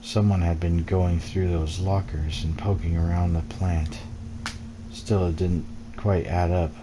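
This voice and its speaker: Man, low-pitched voice, brooding measured storytelling, dramatic game narration, noticeable background noise and ambience. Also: flat delivery. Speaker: let's play gamer reading game text aloud.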